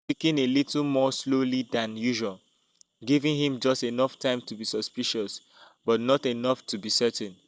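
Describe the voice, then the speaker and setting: A man's voice: medium pitch, fairly even intonation, moderate pace, rough recording. A man narrating a dramatic scripted tale as a storyteller.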